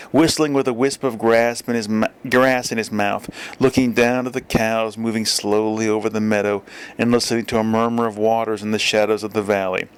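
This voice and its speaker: A man reading a literary story aloud as narrator, with measured, deliberate phrasing. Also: mid-range voice, clean tone. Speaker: audiobook reader.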